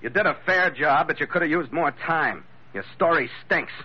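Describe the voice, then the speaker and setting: An actor playing a tough, world-weary ship's skipper in a hardboiled radio drama, terse and sardonic. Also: male voice, fairly high pitch, expressive, normal speed, slightly rough. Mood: defiant, sardonic. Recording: muffled, noisy old radio recording.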